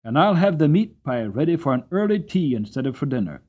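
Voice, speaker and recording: A brisk, kindly Victorian cabman's wife , as a man reads her. She sounds homely and practical, in dramatic storybook style. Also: a little high, very animated, a little mellow. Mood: brisk, agreeable.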